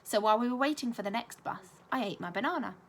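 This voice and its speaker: A woman reading a children's story aloud like a primary school teacher, expressive and clearly paced. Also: on the high side, quick pace, slight background noise.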